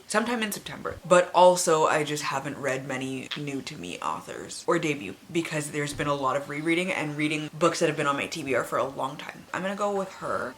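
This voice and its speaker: A woman's voice: sits low, a bit bright, a little hiss or hum. A woman in her late twenties chatting casually as a book vlogger, candid and self-deprecating, rambling and swearing freely. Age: late 20s.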